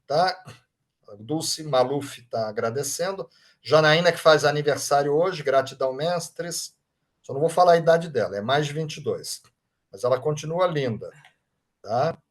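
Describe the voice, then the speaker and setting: A man with a Brazilian Portuguese accent, a Reiki master leading an online study group, reading out chat comments and thanking people by name in an informal way. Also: a little high. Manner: warm, informal, often adds tag questions like "right?".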